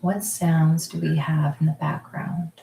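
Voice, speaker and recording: A woman with a lowish, mellow voice guides listeners through a mindfulness exercise, slowly and gently, pausing often. She speaks from her home kitchen, and the room adds a noticeable echo. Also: creaky, a little hiss or hum. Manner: slow, soft, calm guiding with frequent pauses. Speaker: mindfulness group leader.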